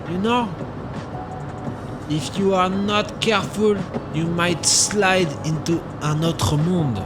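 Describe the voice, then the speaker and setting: A man playing a friendly, philosophical taxi driver in a radio drama, English. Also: high voice. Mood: mysterious, earnest. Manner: non-native English, earnest, gently musing. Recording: clearly noisy street and car ambience.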